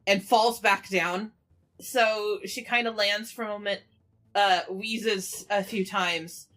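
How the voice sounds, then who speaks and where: A woman's voice: medium pitch, steady tone, slow pace, a bit bright. A woman player in a tabletop role-playing game, casually describing her character's actions and thinking through rules.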